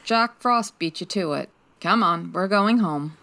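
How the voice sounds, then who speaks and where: A woman playing a sassy, sharp female private detective in a comedic 1940s-style radio drama, with wry, teasing delivery. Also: fairly low pitch, unhurried, creaky, exaggerated voice. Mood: brisk, teasing. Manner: wry, teasing, dry quips.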